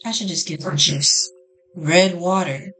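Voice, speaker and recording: A woman's voice: on the low side, unhurried, fairly bright tone, rough recording. A woman reading visual novel text aloud as a gaming host, in an informal way with casual reactions. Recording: music behind the voice.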